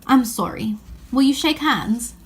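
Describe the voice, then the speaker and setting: A woman's voice: fairly high pitch, animated, a little slow. A woman voicing an earnest, fair-minded eldest-brother schoolboy in a children's story, with emphatic old-fashioned phrasing.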